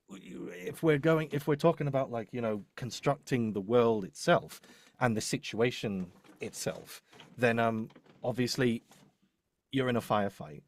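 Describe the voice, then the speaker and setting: A man talks through his working method in a live workshop. His pitch is average and the sound is dry. He is emphatic, often stopping to rework or repeat a phrase before moving on. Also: no echo. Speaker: voice-over demo producer and script writer. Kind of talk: explaining in a live online workshop.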